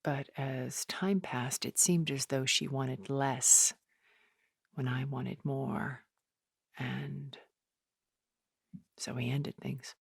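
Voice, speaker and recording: A woman acting an elegant, calculating, regretful centuries-old woman in a video game, speaking in a measured, deliberate and intense way. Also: a bass voice, fairly even intonation, creaky, breathy edge, dry recording, studio quality, full character voice. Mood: soft, confiding.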